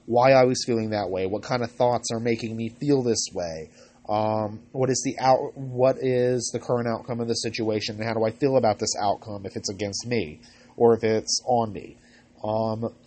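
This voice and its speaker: A man hosting a podcast with informal advice, congested from a cold, speaking in a halting, rambling way and often correcting himself. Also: mid-range voice, darkish tone. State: recovering from a cold. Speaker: podcast host.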